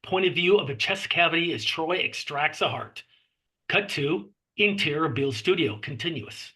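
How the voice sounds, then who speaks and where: A man narrates scene directions from a horror script. His voice is a little high, bright and forceful, with a husky edge. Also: normal speed. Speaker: stage directions reader.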